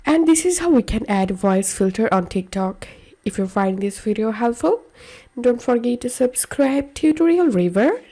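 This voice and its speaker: A woman's voice: a little high, expressive, moderate pace, warm tone. A woman presenting a scripted how-to tutorial in simple, step-by-step instructions.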